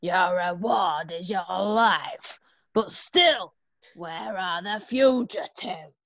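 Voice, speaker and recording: A woman playing a cruel, menacing wolf lieutenant with a deliberate, threatening delivery in an amateur readthrough. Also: fairly low pitch, a bit bright, no room sound, lo-fi sound, exaggerated voice.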